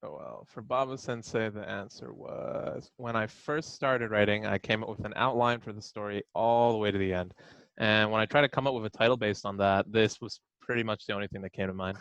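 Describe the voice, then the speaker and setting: Male panelist, lower register, conversational, reading prepared answers aloud. Very dry sound. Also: brisk, a bit bright, clean tone, no room sound, dull audio. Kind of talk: casual panel chat.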